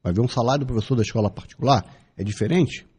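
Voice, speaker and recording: A man's voice: medium pitch, very fast, a little mellow, husky. A man in his fifties from Brazil, giving an emphatic opinion commentary on the radio, driving his points home with repetition and rhetorical questions. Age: 50s. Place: radio studio.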